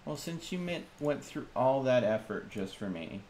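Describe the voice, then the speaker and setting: A man's voice: medium pitch, big pitch swings, normal speed, darkish tone, a little hiss or hum. A man playing a tabletop roleplaying game, chatting casually in and out of character with playful banter.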